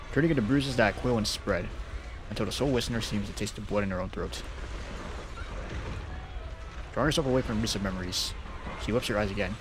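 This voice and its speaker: A man's voice: on the low side, background noise. A man streaming a game, commenting casually and reading text aloud in an informal, rambling, jokey way. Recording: music behind the voice.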